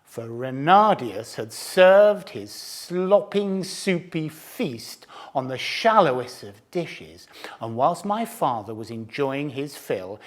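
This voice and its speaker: Sly boastful fox, man reading, storybook teller; slow, lively melody. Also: fairly high pitch. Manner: relishing, theatrical, savouring food words. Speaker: storyteller to cubs. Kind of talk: storybook narration.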